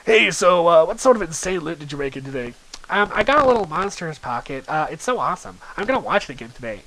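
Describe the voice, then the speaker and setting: A flippant teenage boy, read by a man, chatting casually in a webcomic. The voice is fairly high and muttered, with a hesitant, offhand delivery. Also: sing-song, quick pace, bright, forceful tone, heavily acted, rough recording. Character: teenage boys bantering in online chat, flippant.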